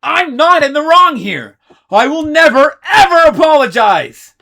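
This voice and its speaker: A man voicing a brash, hotheaded teenage boy student who yells in a very high voice. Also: very animated, slow pace, full character voice. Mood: angry, shouting. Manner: hotheaded, yelling.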